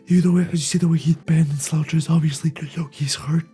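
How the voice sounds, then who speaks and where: A host with a raspy, quiet, fragile voice, talking through a game scene in a soft near-whisper with frequent "right?" asides. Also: medium pitch, fairly even intonation, fairly quick, soft background music. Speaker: video essay host reacting to a game scene. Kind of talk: casual spoken analysis.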